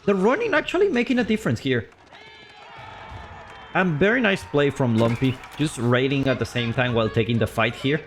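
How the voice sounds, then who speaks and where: An excitable man calls a strategy game match live for a stream, with a mid-range voice that swings widely. He chats loosely, full of fillers and restarts, and jumps up on big plays before easing back into calm explanation. Faint music plays underneath. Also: medium pitch.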